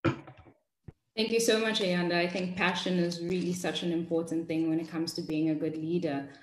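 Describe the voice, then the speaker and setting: A woman's voice: fairly low pitch, flat delivery, a little hiss or hum. A young woman moderating a panel on a video call, politely handing the word to each speaker. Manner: polite, brisk hand-offs. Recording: echoey room on a video call.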